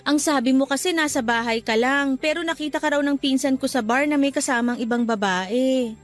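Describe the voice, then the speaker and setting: Actress as soft-mannered young Filipina girlfriend, very high voice, radio drama, light music behind. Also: fairly quick, natural voice. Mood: pleading, worried. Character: quiet, anxious young woman office worker, gentle girlfriend.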